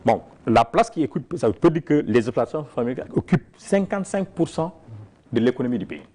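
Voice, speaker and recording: A man's voice: medium pitch, animated, brisk, a little mellow, a little hiss or hum. Man speaking with emphatic repetition. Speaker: farmers' organization leader. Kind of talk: interview answers.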